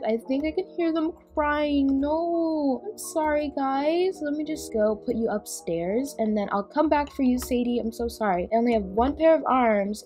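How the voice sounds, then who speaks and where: A woman's voice: on the high side, mellow. A young woman voicing a busy mom in a game roleplay, chatting as she narrates each task she does. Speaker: roleplay channel host playing a mom. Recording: faint background music.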